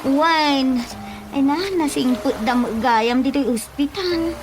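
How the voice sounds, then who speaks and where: An actress playing an excitable, gossipy neighbour woman in an Ilocano radio drama, full of exclamations. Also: high-pitched, measured pace. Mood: agitated. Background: prominent background music.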